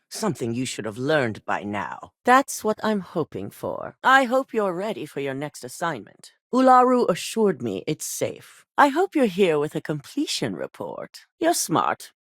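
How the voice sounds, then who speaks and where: A middle-aged actress playing a commanding corporate CEO in a video game, curt and businesslike. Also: female voice, sits low, no echo, full character voice. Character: cool, commanding woman CEO of a megacorporation. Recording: dry studio recording.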